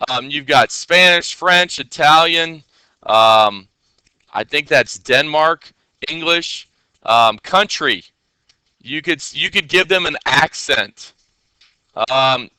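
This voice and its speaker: A man's voice: on the high side, sharp tone, clear, resonant tone, no echo. A man teaching coding in a casual screen-share tutorial. He talks in a loose, chatty way with many fillers, and keeps checking in with his listeners.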